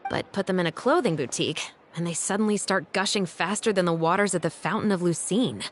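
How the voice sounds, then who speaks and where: A woman playing a composed, dutiful female patrol captain in a video game, speaking in a measured, dryly wry way. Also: lowish voice, slight background noise, lightly acted. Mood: explanatory, calm. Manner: measured, dry, articulate.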